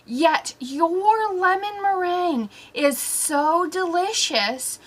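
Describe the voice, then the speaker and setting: A woman's voice: extremely high pitch, expressive, slow pace. A young adult woman giving casual, rambling comic commentary in a mock-exasperated manner, leaning hard on certain words. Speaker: host of a comedic story-time show.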